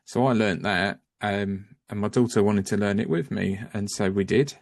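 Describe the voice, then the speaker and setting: A man's voice: lowish voice, moderate pace, vocal fry, no room sound, studio quality. A man talking as a guest on a casual video call, rambling and often restarting his sentences, sometimes apologizing for going on. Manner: rambling, self-interrupting, apologetic. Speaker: guest, home-educating parent.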